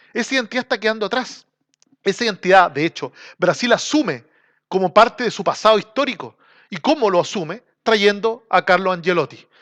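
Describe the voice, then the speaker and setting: Chilean male football host, high voice, slangy, emphatic, casual on-camera monologue. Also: fairly bright tone. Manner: colloquial, slangy and emphatic, drinking while talking. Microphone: small camera.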